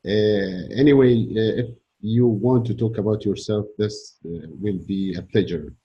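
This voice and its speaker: Hesitant Egyptian man on a dull call. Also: fairly low pitch. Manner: hesitant, many filler pauses. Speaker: webinar host and university teacher. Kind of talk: casual online meeting talk. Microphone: video call.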